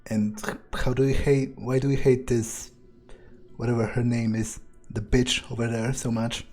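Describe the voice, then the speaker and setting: Slow, mellow man musing in character. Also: medium pitch, flat delivery, soft background music. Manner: hesitant musing, sardonic, profane. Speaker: game player roleplaying a character. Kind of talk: in-character game playthrough, thinking aloud.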